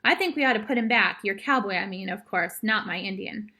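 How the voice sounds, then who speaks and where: A woman's voice: mid-range voice, brisk, pushed and bright. A woman voicing a sensible, determined young boy in a storybook, earnest and firm. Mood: exasperated.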